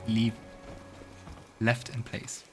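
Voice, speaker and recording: A man with a lowish voice gives casual gameplay commentary. He thinks aloud and reads the on-screen story text as he goes, with game music faintly behind him. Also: completely flat delivery, drawn-out words. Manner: musing aloud to himself. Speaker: let's play host.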